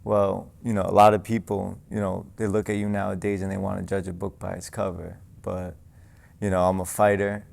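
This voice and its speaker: Gravelly low young New boxer. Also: male voice, fairly quick, slight background noise. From New York. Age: young adult. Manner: relaxed, lots of fillers like "you know". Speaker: guest, amateur boxer. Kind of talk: casual interview. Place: boxing gym.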